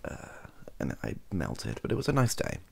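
A young man with an extremely low, heavily creaky voice talks softly, as in an ASMR video. His intonation wanders very widely as he rambles in a hesitant, self-deprecating way. He sits close to the microphone. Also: wildly swooping pitch, normal speed. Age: young adult. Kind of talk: softly spoken ASMR chat. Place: small bedroom at a desk.

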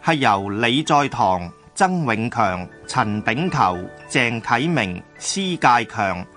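A man's voice: medium pitch, animated, takes it slowly, sharp tone, soft background music. A man with a Hong Kong Cantonese accent narrating a scripted history radio drama.

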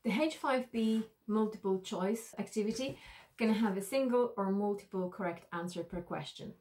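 A woman's voice: medium pitch, moderate pace, darkish tone. A woman in her thirties narrating an instructional tutorial as an e-learning instructor, explaining things directly to the viewer.

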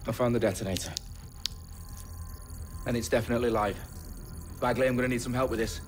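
A man's voice: mid-range voice, steady tone, fairly quick, over music, close to an everyday voice. A man playing a gritty, cocky secret agent in a video game, with a British accent and a blunt, sweary manner. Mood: focused. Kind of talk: video game cutscene acting.

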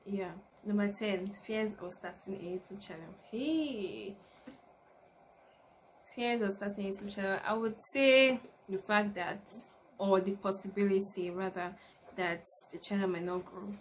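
A young woman with a Nigerian accent talks casually to her camera, answering questions as a new YouTuber. Her voice sits at a middle pitch for a woman. She is recorded in a room at home, with clear noise behind her. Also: measured pace. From Lagos, Nigeria. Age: early 20s. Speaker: YouTuber, university student. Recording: noticeable background noise, room ambience.

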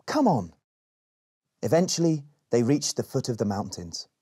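A man in his twenties reads a children's picture book aloud. His mid-pitched voice is husky and swings widely as he tells the tale expressively. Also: a little fast. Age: 20s. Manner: expressive, acts out dialogue. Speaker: storyteller.

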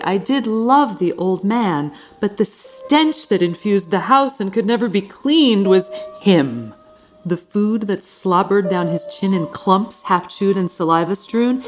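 An actress playing a devoted, sternly fussy housekeeper obsessed with cleanliness, speaking in a prim, formal, intense manner in a radio drama. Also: female voice, medium pitch, close to an everyday voice. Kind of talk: theatrical radio drama monologue and dialogue.

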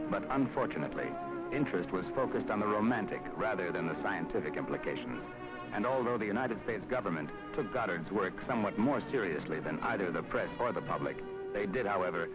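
Deep-voiced man narrating an archival documentary. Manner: measured, dramatic, formal delivery with weighty pauses. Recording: dull, lo-fi archival film soundtrack. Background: prominent background music.

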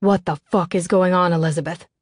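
A woman's voice: fairly low pitch, level tone, normal speed, darkish tone, no room sound, studio quality, close to an everyday voice. A woman narrator voicing a fierce, protective male lover with an intense, demanding manner in an audiobook. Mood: controlled anger.

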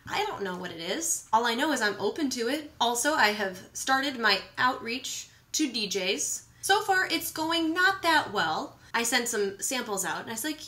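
A woman in her thirties talking casually to camera in a vlog, with an animated, playful and candid manner. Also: on the high side. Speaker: vlogger and musician. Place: small home kitchen, indoors.

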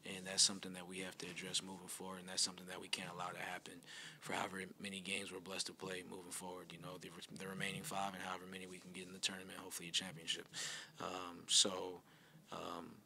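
A man in his thirties, a basketball coach answering questions at a postgame press conference, measured and repeating himself with many pauses, speaking into a table mic in a press room. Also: low voice, vocal fry, sharp tone, slight background noise. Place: press room in front of a sponsor backdrop. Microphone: table mic in front of him.

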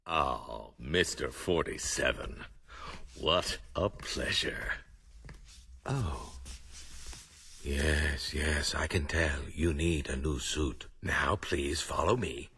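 A man's voice: a bass voice, drawn-out words, slightly rough, full character voice. An actor playing an old, courteous tailor in a game, obsequious and savoring his words. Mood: delighted, fawning. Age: older. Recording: game cutscene under loud music.

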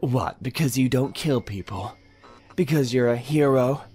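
A man's voice: medium pitch, a little mellow, faint music behind, lightly acted. An actor playing a sinister, self-righteous serial killer in an audio drama, taunting and theatrical as he philosophizes. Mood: taunting, menacing. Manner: theatrical, deliberate, taunting menace with whispered dips. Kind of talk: audio drama villain monologue.

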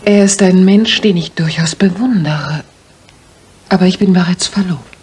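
Low-voiced, anxious young woman. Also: normal speed, a little mellow. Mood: hesitant, earnest. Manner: polite, hesitant, breathy in places. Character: anxious young female music teacher seeking a detective's help. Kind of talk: German radio drama acting.

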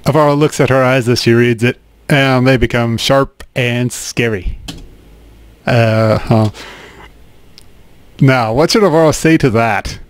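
Man, let's play host, mid-pitched, casual wry commentary, game music behind. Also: measured pace, long pauses, clean tone, no echo. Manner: casual, wry, dry humor.

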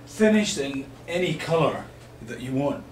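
Man, fifties, Scottish accent, unhurried exhibitor's explanation, roomy camera sound, faint noise. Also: on the high side, animated. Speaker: loudspeaker company exhibitor. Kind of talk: informal product presentation. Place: small hotel exhibition room, indoors. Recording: roomy hotel room, light background noise.

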